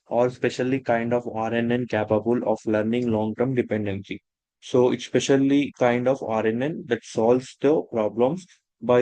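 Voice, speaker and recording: A man teaching a technical lesson, talking in a halting way with many restarts and fillers. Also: mid-range voice, dark tone, no echo, low-quality recording. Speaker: instructor. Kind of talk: technical lecture.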